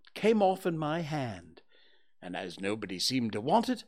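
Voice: medium pitch, huge pitch swings, moderate pace, a little mellow. A storybook reader voicing a pompous, long-winded owl who acts learned and declaims things. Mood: chatty.

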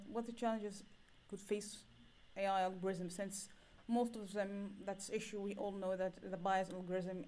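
A woman interviewing a guest, asking long loosely phrased questions and giving frequent murmured agreement. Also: medium pitch. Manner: frequent soft backchannels, rambling questions.

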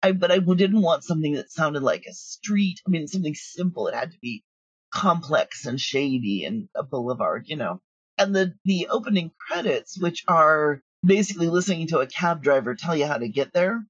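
A woman's voice: low voice, expressive, moderate pace, husky, no echo, dull audio. A woman chatting casually as an interview guest, telling stories with plenty of asides. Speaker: guest, audio drama creator.

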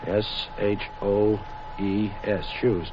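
An actor playing a wisecracking, cool-headed adventurer hero in a 1940s radio drama. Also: male voice, lowish voice, flat delivery, takes it slowly. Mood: intent, deducing. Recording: muffled, hissy 1940s radio recording.

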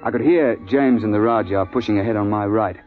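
Seasoned male safari guide played by a male actor, brisk, authoritative, old-school radio adventure, muffled recording over music. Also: on the low side, natural voice. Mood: vivid storytelling. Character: seasoned British male big-game hunting guide, brisk and exasperated. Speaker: narrator and hunting guide. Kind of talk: 1950s radio drama acting and narration.

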